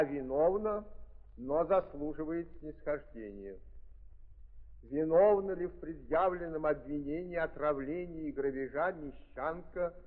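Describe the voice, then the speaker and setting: Casting: jury foreman, slightly high voice, solemn formal reading, vintage thin-sounding drama. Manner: formal, deliberate question-and-answer reading. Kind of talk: 1930s Russian radio drama acting. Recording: tinny 1930s recording, long reverb.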